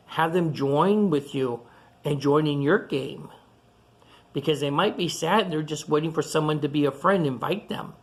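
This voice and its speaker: A woman with a low voice leads a children's storytime. She talks in simple, repeated phrases and puts gentle, encouraging questions to her young listeners, as someone reading a picture book aloud does.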